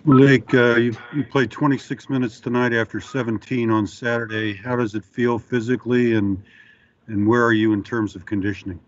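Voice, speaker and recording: A man's voice: mid-range voice, steady tone, moderate pace, muffled recording, slight background noise. A male sports reporter asking questions at a press conference, hesitant and repeating words.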